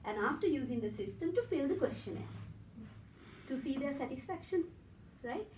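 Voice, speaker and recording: A woman's voice: high-pitched, animated, normal speed, darkish tone, slight background noise. A woman from Sri Lanka with a Sri Lankan accent and Sinhala as her first language. She gives an academic conference presentation and often adds a checking "right?" as she explains her points step by step. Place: conference room in a hotel. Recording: echoey room from far off.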